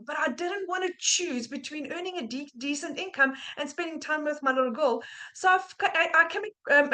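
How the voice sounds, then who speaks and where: Bright high-voiced woman. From South Africa. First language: Afrikaans. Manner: earnest, many ums and restarts. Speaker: guest sharing a testimonial. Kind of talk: personal testimony. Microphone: video call.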